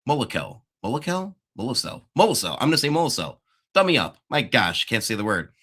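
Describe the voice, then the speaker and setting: A man's voice: medium pitch, very animated, a little fast, a bit bright, breathy edge. A man hosting a casual stock analysis livestream, chatty and self-interrupting, saying he is losing his voice. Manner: chatty, self-interrupting, checks the live chat. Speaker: stock market show host.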